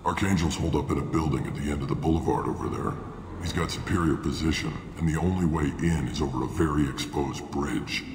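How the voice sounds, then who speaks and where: A businesslike mercenary officer with a very low, deep voice. He briefs hires in a blunt, matter-of-fact way. The voice sits in a roomy, reverberant game mix with music playing underneath. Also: fairly quick, background noise. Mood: briefing. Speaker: mercenary recruiter.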